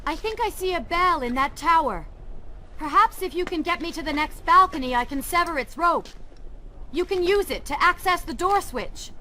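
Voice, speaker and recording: An actress playing a spirited, caring young heroine in a video game, direct, impatient and teasing. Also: female voice, extremely high pitch, lively intonation, moderate pace, sharp tone, full character voice. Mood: brisk, teasing. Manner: direct, challenging. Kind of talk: video game cutscene acting. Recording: faint ambient noise behind.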